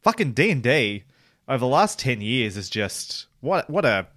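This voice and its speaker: A man's voice: medium pitch, sing-song, moderate pace, fairly bright tone, no room sound. A man co-hosting a podcast in casual chat, swearing often and self-deprecating. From Australia. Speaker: podcast co-host.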